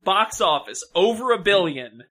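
High-pitched, bright-voiced man, blunt and emphatic. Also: sing-song, normal speed. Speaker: podcast co-host. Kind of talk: casual film discussion.

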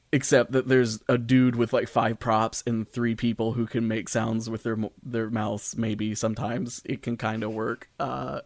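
A man's voice: fairly low pitch, vocal fry, dry recording. A man co-hosting a podcast, talking informally and thinking aloud in a casual discussion. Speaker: podcast co-host. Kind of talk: casual discussion of storytelling in audio and stage.

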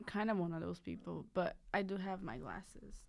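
Brazilian woman with a gravelly voice. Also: fairly low pitch, moderate pace, slight background noise. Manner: chatty, laughs easily, trails into soft asides. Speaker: podcast co-host. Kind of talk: casual chat.

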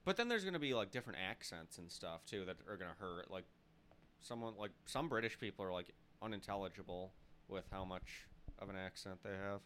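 A young man trading casual banter on a podcast. His pitch rises and falls a lot as he talks, and he breaks into laughs easily. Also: lively intonation, fairly quick, fairly bright tone, a little hiss or hum. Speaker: podcast co-host. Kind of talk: casual chat.

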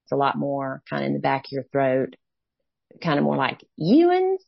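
A woman's voice: very deep voice, wildly swooping pitch, normal speed, vocal fry, no room sound, lo-fi sound. A middle-aged woman from East Tennessee with a Southern accent, talking casually as an interviewee. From East Tennessee, USA. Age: 40s or 50s. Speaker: interviewee, mother of the narrator.

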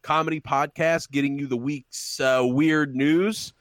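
A man's voice: a little high, fairly even intonation, a little slow, fairly bright tone, no room sound, studio quality. A man hosting a sports podcast, wrapping up the show with jokey quips. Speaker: podcast host. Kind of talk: casual comedic sports chat.

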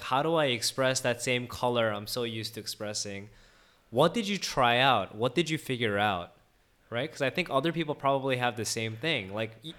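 A man's voice: mid-range voice, pushed and bright. A man hosting a casual interview, asking probing questions with a teasing edge. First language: English. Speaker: podcast host.

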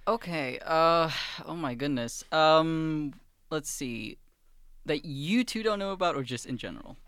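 Guy from sweden as a podcast guest, lively, laughs a lot, dry close sound. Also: fairly high pitch, unhurried, clean tone, dry recording. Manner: animated, laughs easily, exclaims. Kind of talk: casual chat.

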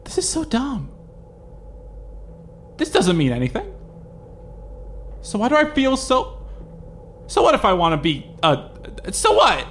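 A man playing an anxious young man in a fan audio drama, talking in a halting, rambling, self-questioning way. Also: sits high, huge pitch swings, fairly bright tone, a little hiss or hum, exaggerated voice. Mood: panicked. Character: anxious young man facing his identity.

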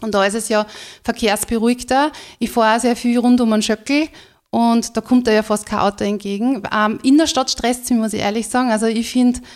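A chatty Austrian woman with a fairly high voice, speaking as an interview guest. She talks openly and a little loosely, dotting her sentences with fillers. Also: steady tone, a little fast. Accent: Austrian German. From rural Styria, Austria. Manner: chatty, candid, full of fillers and laughs. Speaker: guest, business co-founder. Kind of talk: casual interview talk.

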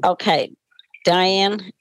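A woman's voice: on the low side, steady tone, very slow, no echo. A chatty, apologetic woman on a video call, helping run a class. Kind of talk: casual chat.

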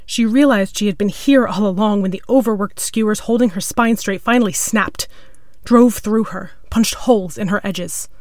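A woman's voice: medium pitch, moderate pace, a little mellow, no echo, studio quality. A woman reading a piece of scripted literary fiction as its narrator. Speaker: story narrator.

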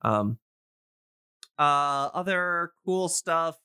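A man's voice: on the high side, lively intonation, drawn-out words, sharp tone, smooth, no echo, clean studio sound. A man in a casual, enthusiastic chat, explaining a subject in a rambling way and often losing his thread. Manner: rambling, loses train of thought. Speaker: podcast guest explaining old-time radio.

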